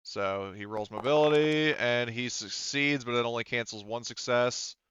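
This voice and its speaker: A man's voice: medium pitch, normal speed, dull audio, over a noisy crowd. A man casually running a tabletop roleplaying game as game master, thinking aloud as he narrates.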